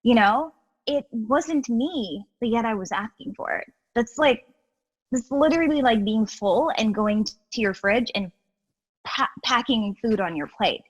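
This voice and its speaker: A woman's voice: fairly high pitch, unhurried, slightly rough, poor audio. Earnest woman, chatty. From Guyana. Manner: animated and earnest, many "you know"s and restarts. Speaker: guest, healer and educator. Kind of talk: casual interview chat.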